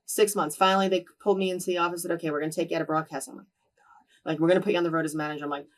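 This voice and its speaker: Woman in her 30s, quick chatty storyteller, mid-height voice, mic a little distant. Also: lowish voice. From New Jersey. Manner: lively storyteller who acts out quoted dialogue. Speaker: interview guest. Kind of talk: casual storytelling in a sit-down interview.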